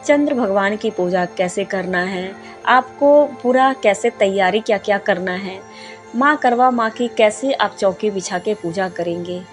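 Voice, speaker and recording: A hoarse woman who is a little unwell, hosting a devotional channel and chatting her way through ritual steps, repeating herself and calling viewers her friends. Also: mid-range voice, measured pace, soft background music. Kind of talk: casual spoken ritual guide.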